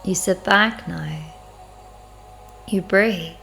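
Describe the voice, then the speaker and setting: A woman with a lowish voice leads a spiritual guided meditation. She speaks very slowly and reflectively, leaving long, measured pauses between phrases. Music plays behind her words. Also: gravelly, sharp tone.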